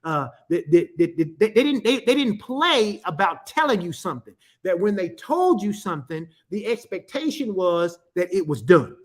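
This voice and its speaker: A man's voice: sits high, very animated, moderate pace, hardly any pauses. A man, a pastor giving a teaching talk, repeating phrases for emphasis and calling out to his listeners. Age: 50s. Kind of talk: teaching talk to an online audience.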